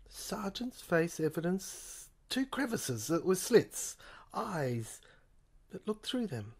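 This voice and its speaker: Low-pitched woman, halting dramatic narration. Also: big pitch swings, darkish tone, breathy edge. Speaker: narrator and performer of an audio play. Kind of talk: dramatic scripted narration of a science fiction audio play.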